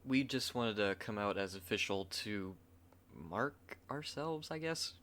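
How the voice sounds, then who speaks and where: A man's voice: fairly low pitch, lively intonation, measured pace, a bit bright. A man hosting a roleplay channel, talking casually in an outro. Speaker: ASMR roleplay channel host.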